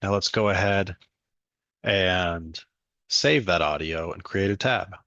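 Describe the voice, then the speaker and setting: A young man guides the listener through a software demo step by step. His voice is on the low side, and the sound is close and dry with no room echo. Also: slow, highs cut off. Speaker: student demo presenter.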